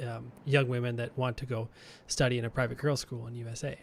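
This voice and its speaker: A man's voice: medium pitch, little pitch movement, quick pace, creaky, a little hiss or hum. A Canadian man co-hosting a marketing podcast, chatting casually and thinking aloud, with frequent restarts and chuckles. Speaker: podcast co-host.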